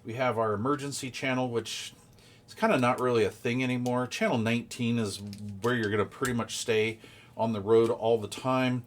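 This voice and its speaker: A man's voice: medium pitch, steady tone, moderate pace. A man giving a casual, hands-on unboxing commentary, explaining details informally and thinking aloud as he goes. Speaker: product reviewer.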